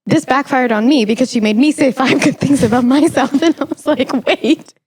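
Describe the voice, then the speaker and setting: A woman's voice: high-pitched, normal speed, a little mellow, husky, dry recording. A woman hosting a podcast in casual, playful chat, with mock-indignant banter. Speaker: podcast host.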